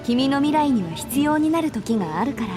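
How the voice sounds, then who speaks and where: A woman's voice: sits high, lively intonation, very fast. An actress playing a confident, kindly woman mentor in a visual novel, speaking earnestly and instructively. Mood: earnest, reassuring. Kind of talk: Japanese visual novel voice acting. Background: music.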